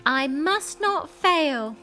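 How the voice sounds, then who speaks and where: A woman's voice: high-pitched, lively intonation, extremely slow, pushed and bright, faint music behind, pitched above the performer's own voice, full character voice. A woman voicing a tiny, plucky female sea snail in a storybook, earnest and exclaiming. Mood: determined.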